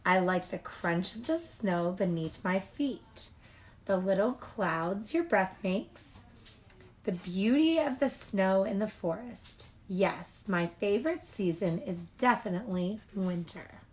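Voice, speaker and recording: Woman reading as a hearty, unhurried papa bear. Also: mid-range voice, lively intonation, darkish tone, rough recording. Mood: hearty, savoring. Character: rugged father bear, hearty, fond of winter.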